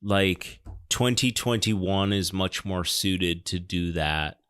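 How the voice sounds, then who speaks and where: A man in a casual podcast discussion, talking in a thoughtful, discursive way with halting phrasing. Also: deep voice, fairly bright tone, smooth. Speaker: podcast co-commentator.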